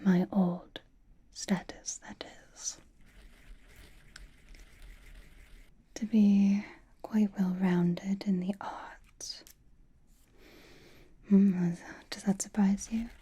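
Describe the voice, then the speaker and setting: A woman playing a centuries-old aristocratic vampire lady in a whispered ASMR roleplay, doting, possessive and softly teasing, with refined manners and a breathy voice. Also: mid-range voice, steady tone, very slow, long pauses, heavily acted. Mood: soft, attentive.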